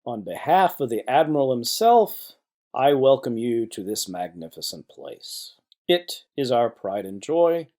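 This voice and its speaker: A man voicing a proud corporate tour guide in an audio play, speaking in a rehearsed, formal sales pitch. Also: sing-song, a little slow, a little mellow. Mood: proud, salesy. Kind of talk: scripted audio play acting.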